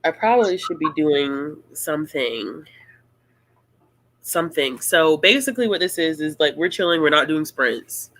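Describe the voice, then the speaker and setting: A woman hosting a casual live-stream Q&A, talking in a relaxed, rambling and playful way. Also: deep voice, moderate pace, rough recording. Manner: laid-back, rambling, playful. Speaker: booktuber host of a live Q&A.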